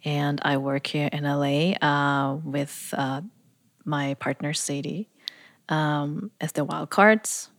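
A woman from Austria, a music producer guest, talking casually and thoughtfully with many pauses and fillers. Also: low voice, fairly even intonation. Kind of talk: casual interview.

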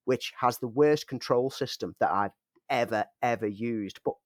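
A man with a mid-pitched voice co-hosts a casual podcast, rambling in a self-mocking way with frequent chuckles. He has a lingering cough, and the recording is close and dry. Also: normal speed, no room sound. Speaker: podcast co-host.

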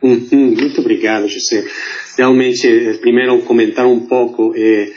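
A man's voice: mid-range voice, moderate pace, a little hiss or hum. A middle-aged man from Mexico City with a Mexican Spanish accent, speaking formally as a guest on a podcast, with filler sounds between phrases. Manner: formal, steady, many fillers. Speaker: guest, consular diplomat. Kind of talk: podcast interview. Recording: muffled lo-fi audio.

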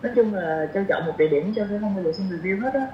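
A woman's voice: medium pitch, very fast. A woman in her twenties with a southern Vietnamese accent, chatting casually as a podcast guest, hesitant and thinking aloud. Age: 20s. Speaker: podcast guest, young doctor. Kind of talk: casual chat. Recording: roomy echo, dull sound, light noise.

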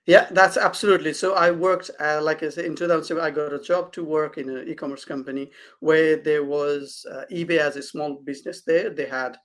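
A man's voice: fairly high pitch, fairly even intonation, fairly quick, hardly any pauses, fairly bright tone. A man from Nepal, a guest consultant, answering interview questions hesitantly with many fillers and restarts.

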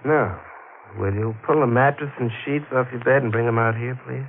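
An actor playing a taunting, mock-polite killer on the run in an old-time radio thriller, speaking with deliberate menace. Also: male voice, medium pitch, narrow, tinny audio, natural voice. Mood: coolly commanding. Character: menacing male killer on the run, mocking, controlling. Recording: scratchy, band-limited old radio recording.

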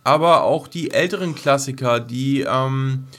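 A man's voice: medium pitch, unhurried, a bit bright. A man co-hosting a casual podcast in a colloquial, joking, somewhat hesitant way. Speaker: podcast co-host.

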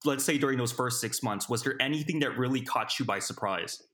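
A man's voice: medium pitch, fairly quick, a bit bright. A man hosting an interview, asking questions and responding with frequent short affirmations. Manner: attentive, frequent backchannel. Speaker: podcast host.

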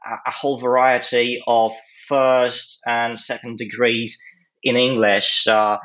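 A man, speaking as an interview guest in a hesitant, measured way with long pauses. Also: medium pitch, little pitch movement, a bit bright, muffled recording. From Poland. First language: Polish. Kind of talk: conversational analysis in an interview.

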